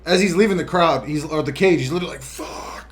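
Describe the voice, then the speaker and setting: A young man co-hosting a casual sports podcast, telling stories excitedly and swearing freely. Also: a little high, fast. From Bosnia. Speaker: podcast co-host. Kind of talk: casual chat.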